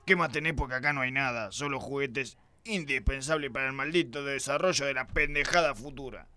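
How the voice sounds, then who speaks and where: A man's voice: medium pitch, brisk, pushed and bright, natural voice. A man playing a crude, pompous, bigoted police inspector in a comedic radio play, full of macho bluster. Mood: blustering, dismissive.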